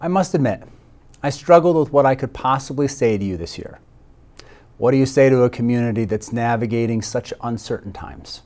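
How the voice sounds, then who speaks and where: A middle-aged man, a school principal, speaks to graduates in a reflective, heartfelt manner. His voice sits at a typical pitch for a man. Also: a little mellow. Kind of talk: prepared commencement speech.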